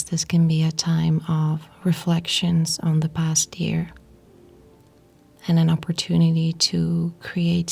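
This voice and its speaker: A woman guiding a yoga nidra meditation in a breathy, whispery, husky voice, soothing and unhurried, with pauses between short phrases. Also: low-pitched, darkish tone. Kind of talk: guided meditation narration.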